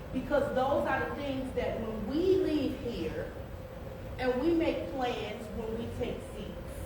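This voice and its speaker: A woman running for office, questioning the audience at a town forum and repeating her questions to draw people in. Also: on the high side. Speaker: candidate at a forum. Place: large echoey church hall. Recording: echoey hall, distant mic, room noise.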